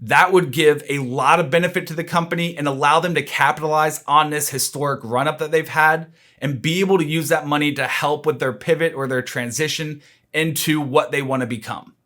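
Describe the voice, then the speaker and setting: Bright-voiced man giving stock commentary. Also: fairly high pitch, steady tone, brisk. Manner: conversational, explanatory, opinionated. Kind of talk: informal analytical commentary.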